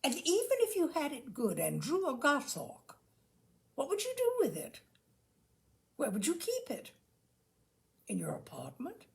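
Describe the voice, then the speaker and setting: Animated, high-voiced woman reading with creaky edges. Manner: theatrical, measured phrasing with dramatic pauses. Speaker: reader of literary humour. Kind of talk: expressive reading of a comic essay.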